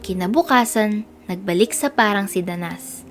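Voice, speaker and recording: A woman with a Filipino accent narrating a scripted children's storybook in an expressive read-aloud style. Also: medium pitch, moderate pace, faint music behind.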